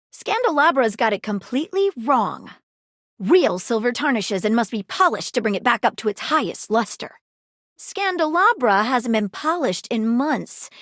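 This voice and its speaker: A haughty video game detective with a high voice that swoops dramatically. She speaks formally and precisely, with theatrical pomp, in a close, dry recording. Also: a bit bright, no room sound. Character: haughty, sharp-witted detective confronting frauds. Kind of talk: video game character dialogue.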